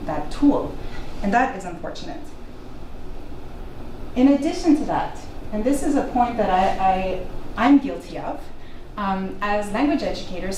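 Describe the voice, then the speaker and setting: A middle-aged woman whose first language is French, giving an earnest academic talk to an audience with frequent small restarts. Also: medium pitch. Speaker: guest lecturer. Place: lecture room. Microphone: room mic at a distance. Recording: echoey room from far off, noisy.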